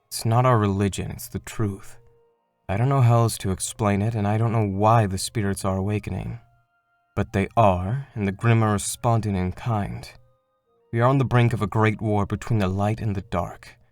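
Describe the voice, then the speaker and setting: Brief: earnest male spiritual mentor, acted by a man, lowish pitch, measured and solemn, dry sound with soft music. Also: steady tone, no room sound, close to an everyday voice. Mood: earnest, grave. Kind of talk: animated fandub acting.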